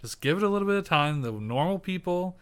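A man co-hosting a casual home podcast, animated and swearing often. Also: high voice, fast, clean tone. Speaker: podcast co-host. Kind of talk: casual chat.

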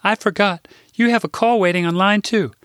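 A man's voice: high-pitched, normal speed, a bit bright, no room sound, clean studio sound, voice pushed up. A man voicing a cheerful, innocent Midwestern grandmother over 60, earnest and sing-song with a Midwestern accent.

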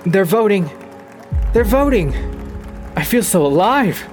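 A man playing an excitable, scheming young reindeer and mama's boy in a comic radio drama, eager and melodramatic. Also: high-pitched, takes it slowly, over music, a crowd in the background, full character voice. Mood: giddy, triumphant.

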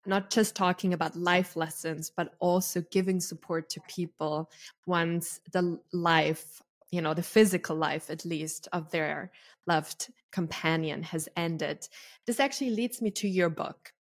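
A woman's voice: fairly low pitch, fairly even intonation, unhurried. Enthusiastic Austrian-accented woman host. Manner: enthusiastic, laughs often. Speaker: podcast host and coach. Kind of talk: casual interview chat.

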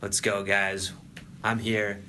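A man's voice: fairly low pitch, flat delivery, slow pace, bright, forceful tone, slight background noise. A young man hosting a casual sports podcast, normally laid back but trying to bring extra energy. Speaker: podcast host.

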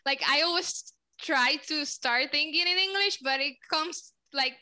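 A young woman from Puerto Rico with a Spanish accent, a guest on a podcast answering questions casually and often pausing to search for words. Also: sits high, pushed and bright. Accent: Puerto Rican Spanish. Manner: searching for words, frequent fillers. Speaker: social media manager, guest.

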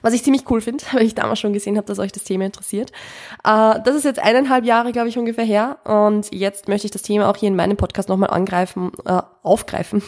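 A woman's voice: medium pitch, fairly even intonation, quick pace, a little mellow. Nasal woman with a head cold. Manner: chatty, self-deprecating, frequent fillers and restarts. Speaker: podcast host. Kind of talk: solo podcast talk.

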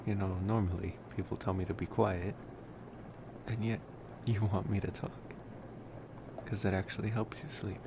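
A man playing a gentle, wistful college vampire boyfriend in an intimate ASMR roleplay, rambling hesitantly in a soft-spoken way. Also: fairly low pitch, steady tone, moderate pace, gravelly, noisy background. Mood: affectionate, reassuring.

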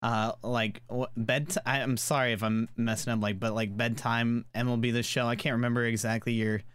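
A man's voice: mid-range voice, little pitch movement, fairly quick, fairly bright tone, clean tone. A man hosting a casual podcast interview, rambling through his questions with many fillers and restarts. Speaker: podcast host.

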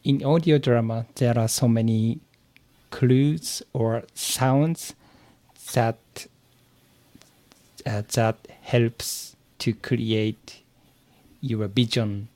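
A man with a Japanese accent, a native Japanese speaker, giving careful, halting interview answers in English as a podcast guest and learner. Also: medium pitch, steady tone, mellow, dry recording. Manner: hesitant, searching for words, halting phrases.

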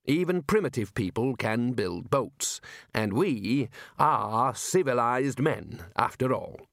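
A man's voice: medium pitch, expressive, slow pace, creaky, a bit bright, dry recording, heavily acted. A fussy old university wizard from a fantasy storybook, performed by a man. He sounds flustered and grumbles in his own defence. The intonation moves around a lot and the sound is close and dry. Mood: self-important. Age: older. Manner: flustered, self-justifying, grumbling. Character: defensive, fussy elderly male wizard dean.

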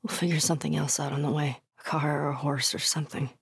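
A young American survivor in a naturalistic video game style. Her voice sits a little low and is husky, recorded very dry. She is tough and sardonic, muttering casually and swearing freely. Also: flat delivery, fast, no echo, full character voice. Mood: low, uneasy. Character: tough, wry, foul-mouthed young woman survivor.

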